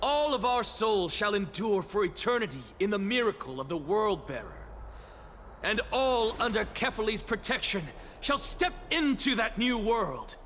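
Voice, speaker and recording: An actor playing an arrogant heretic professor in a video game, declaiming with theatrical scorn. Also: male voice, sits high, sing-song, normal speed, a bit bright, a little hiss or hum. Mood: grand, proclaiming. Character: arrogant male scholar, theatrical heretic professor lecturing an assembly. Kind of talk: dramatic video game voice acting.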